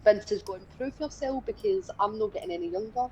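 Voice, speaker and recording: A Scottish woman in her twenties talks casually as a co-host on a gaming stream. She is blunt, opinionated and sweary, with a mid-pitched voice over light background noise. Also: a little fast, mellow, low-quality recording. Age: 20s.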